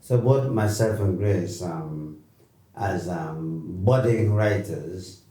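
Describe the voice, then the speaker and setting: A man from Guyana, a writer speaking in a documentary interview in a measured way. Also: low-pitched, dark tone. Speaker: writer and poet. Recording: roomy, distant mic.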